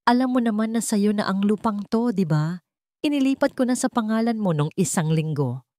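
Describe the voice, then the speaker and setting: A woman voicing a devoted, gently teasing man in love in a romantic audio drama. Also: mid-range voice, animated, normal speed, voice pushed up, close to an everyday voice. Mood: earnest. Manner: earnest, tender.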